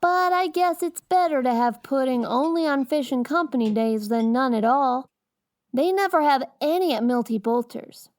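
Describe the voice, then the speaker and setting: A woman's voice: high voice, lively intonation, normal speed, a little mellow, dry recording, studio quality. An actress playing a cheeky, inquisitive young boy with blunt, earnest, folksy talk in an audiobook drama. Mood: earnest, curious. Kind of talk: scripted audiobook drama acting.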